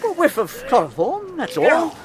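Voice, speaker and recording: An actor playing a smooth-talking, pedantic crook in a radio play. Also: male voice, extremely high pitch, wildly swooping pitch, moderate pace, heavily acted. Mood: smug, untroubled. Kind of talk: British radio play acting.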